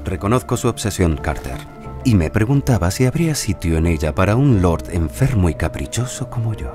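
An actor playing a whimsical, exuberant, sickly lord in an audio drama, with a courtly, droll manner. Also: male voice, deep voice, animated, a little fast, music in the background. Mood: courteous, frank. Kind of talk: Spanish-language audio drama acting.

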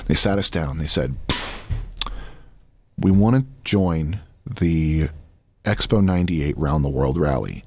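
An American man in his thirties, a podcast guest telling personal stories in a halting but emphatic way. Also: very deep voice, animated, husky. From Florida, United States. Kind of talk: conversational personal storytelling. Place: small podcast studio, indoors. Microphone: close boom studio mic with headphones.

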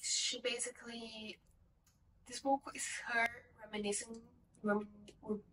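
A woman's voice: a little high, level tone, measured pace, bright, forceful tone, far from the mic, rough recording. A young woman whose first language is Portuguese, casually reviewing a book at home in a rambling, self-correcting way, from a camera set a little away. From Portuguese-speaking country. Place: home room with bookshelves.

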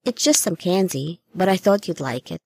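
A woman's voice: fairly low pitch, animated, moderate pace, dark tone, husky, dry recording. A woman voicing a young granddaughter in a story, careful, coaxing and reassuring. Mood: affectionate.

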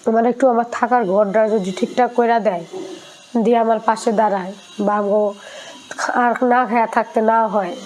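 A woman's voice: on the high side, mellow, slight background noise, low-quality recording. A young woman with a colloquial Bangladeshi accent, making a plaintive, emotional personal appeal for help in an interview. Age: young adult. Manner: pleading, plaintive.

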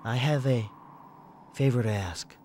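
An actor playing a stoic, righteous giant alien robot in a game cutscene, speaking in a solemn, deliberate, formal manner. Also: male voice, mid-range voice, a little mellow, a little hiss or hum, lightly acted. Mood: grave, calm. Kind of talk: animated game cutscene dubbing.

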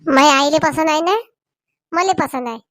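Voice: high voice, moderate pace, a bit bright, no room sound, studio quality. A performer voicing an outspoken young village woman in a comedy cartoon, blunt and chatty. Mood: reluctant. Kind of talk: Marathi comedy cartoon dialogue.

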